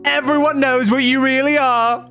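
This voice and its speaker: A man voicing a vicious village bully boy who taunts and shouts, his voice pushed high and strained. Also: level tone, moderate pace, clean tone, faint music behind, exaggerated voice. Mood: sneering.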